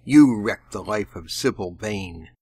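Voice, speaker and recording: A man's voice: lowish voice, expressive, a little slow, a little mellow, husky. A man voicing a vengeful, thick-set sailor in an audiobook, blunt and growling with menace. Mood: menacing, angry.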